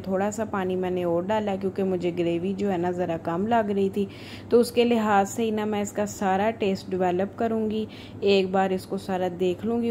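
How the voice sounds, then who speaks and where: A woman home cook talks through a recipe in a casual, chatty way. Her voice is soft-edged and mellow at a medium pitch, and it stays fairly flat. There is noticeable noise behind the voice-over. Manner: informal, chatty, homely asides. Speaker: home cook, cooking channel host. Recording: voice-over with clear background noise.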